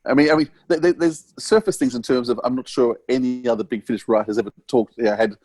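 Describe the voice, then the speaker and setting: A man with a medium-pitched voice hosts an interview in a dry, close recording. He feels his way through sentences, halting and rephrasing as he goes. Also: fairly even intonation, a little mellow, no room sound, faint music behind. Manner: tentative, halting, self-correcting. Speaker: podcast host and interviewer. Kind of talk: conversational interview.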